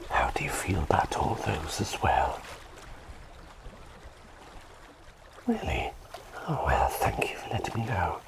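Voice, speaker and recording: Man voicing a whispering, very deep, gentle plague doctor. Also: sing-song, normal speed, exaggerated voice. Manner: soothing, softly whispered, breathy, slightly formal. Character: gentle, doting male plague doctor reassuring a patient. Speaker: ASMR performer. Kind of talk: ASMR roleplay, whispered personal attention.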